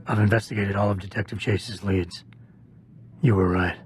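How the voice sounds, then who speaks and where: An actor playing a stoic security agent in a video game, speaking in a restrained, terse and deliberate way. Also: male voice, fairly low pitch, fairly even intonation, a little hiss or hum, lightly acted. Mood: somber, restrained. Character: stoic gravelly male security agent investigating a cover-up. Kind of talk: video game cutscene acting.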